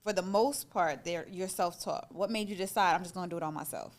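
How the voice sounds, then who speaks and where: A woman's voice: lowish voice, fairly quick. A woman hosting a casual live interview, encouraging her guest with affirming interjections and conversational questions. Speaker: interviewer, host.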